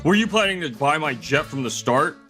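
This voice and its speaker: A male voice actor as an arrogant rich client in a manga dub, brash and petulant. His voice is bright and forceful, swinging widely in pitch as he dismisses people with indignation. Music plays underneath. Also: lively intonation, brisk. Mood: indignant. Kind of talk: dramatic manga dub voice acting.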